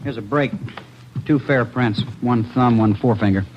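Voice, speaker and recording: A man's voice: mid-range voice, normal speed, close to an everyday voice. An actor playing an eager, chatty police fingerprint man, talking in short clipped phrases in a 1940s radio drama. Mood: eager, curious. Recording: muffled old radio recording, faint noise.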